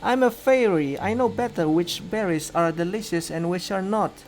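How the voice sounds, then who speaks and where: A man's voice: high-pitched, normal speed, a little mellow, slight background noise, pitched above the performer's own voice, natural voice. A man voicing a stubborn, boastful little fairy in a visual novel, speaking with indignant insistence.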